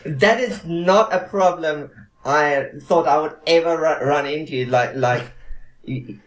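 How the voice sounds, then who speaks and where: A man with a South African accent hosting a casual interview, talking in halting bursts full of restarts and fillers. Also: fairly high pitch, echoey room. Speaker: host. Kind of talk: casual video-call interview.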